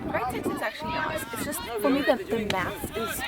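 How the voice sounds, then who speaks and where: A man's voice: extremely high pitch, big pitch swings, fairly quick. A young man with a South African English accent, a teacher, chatting easily and explaining things at length on the sidelines of an outdoor game. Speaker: teacher, spectator. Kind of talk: casual sideline chat. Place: outdoor sports field. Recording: loud crowd noise outdoors.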